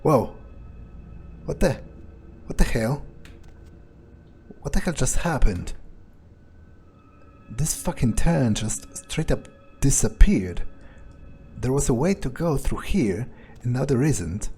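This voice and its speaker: A man's voice: medium pitch, lively intonation, slow pace, long pauses, mellow, soft background music. A man role-playing a cocky, foul-mouthed fledgling vampire in improvised video game commentary, muttering hesitantly and swearing casually. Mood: baffled, alarmed. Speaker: game streamer role-playing his character. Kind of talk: in-character improvised gameplay commentary.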